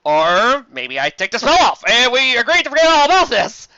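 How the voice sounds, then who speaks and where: A reader voicing a jittery male unicorn swindler in a fan storybook reading, loud and flustered. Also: mid-range voice, very animated, moderate pace, dry recording, highs cut off, voice pushed up. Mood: nervous. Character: nervous male unicorn con artist, jittery.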